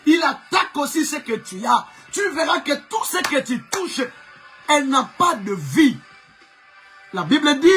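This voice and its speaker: A man preaching a sermon with a Congolese French accent, whose first language is Chokwe. He preaches fervently, often shouting and repeating phrases for emphasis. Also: very high voice. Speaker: pastor. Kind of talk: live-streamed preaching sermon.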